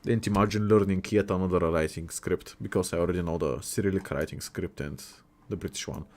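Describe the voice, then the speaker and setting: A man's voice: sits low, steady tone, fairly quick. A young man hosting a casual livestream, chatty and jokey as he reacts to viewers' messages. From Bulgaria. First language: Bulgarian. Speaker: livestream host. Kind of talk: casual livestream chat, reading chat aloud.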